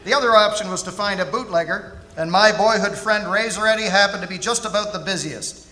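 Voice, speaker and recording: A man's voice: high voice, fairly quick, bright, forceful tone. A man playing a war veteran private detective, narrating his case in a scripted radio play on a theatre stage. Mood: storytelling. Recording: echoey theatre hall, music behind.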